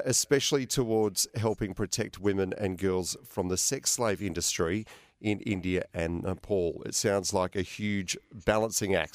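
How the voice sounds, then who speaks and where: Man, very deep voice. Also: no echo. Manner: conversational, stumbling restarts with many uh fillers. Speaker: radio interview host. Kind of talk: interview hosting.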